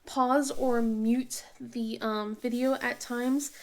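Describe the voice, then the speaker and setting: A woman chatting casually as she works on a craft project, halting with many ums and restarts, sniffly from a cold. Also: fairly high pitch, steady tone. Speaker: diamond painting vlogger. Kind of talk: casual chat while crafting.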